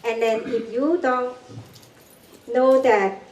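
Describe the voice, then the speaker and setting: An older woman with a Cambodian accent speaks in halting, broken English, breaking into nervous laughs. Her voice sits a little high. The recording is distant and echoey, as if picked up from across a hall. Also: steady tone, darkish tone. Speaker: guest speaker. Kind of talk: personal testimony talk. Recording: echoey room, far from mic.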